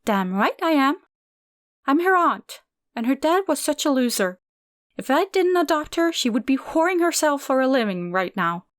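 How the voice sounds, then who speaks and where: Woman putting on a loud, high, cursing fifties aunt, combative storybook voice, dry recording. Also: animated, normal speed, dry recording, natural voice. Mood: angry scornful. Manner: barking, cursing, scornful. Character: coarse foul-mouthed woman in her fifties, bitter and combative.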